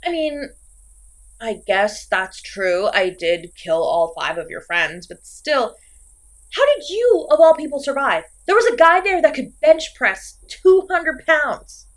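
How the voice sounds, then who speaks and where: A woman's voice: high-pitched, big pitch swings, takes it slowly, exaggerated voice. A woman playing an insecure, petulant, chatty slasher killer in a comedic horror audio roleplay, theatrical and exasperated, swinging between sulky and manic. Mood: dejected, baffled, then indignant.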